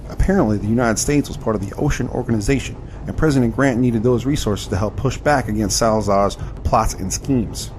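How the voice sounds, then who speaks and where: A man acts as the hero narrating his own period adventure in an audio drama. The voice is fairly low and moves quickly through the scripted account, with faint noise underneath. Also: natural voice. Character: adventure hero recounting his 1871 story. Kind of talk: scripted first-person narration.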